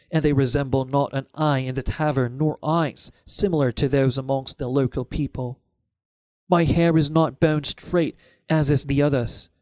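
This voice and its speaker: A man's voice: a little high, darkish tone, dry recording. A man reading first-person fiction as an audiobook narrator, in a scripted storytelling style.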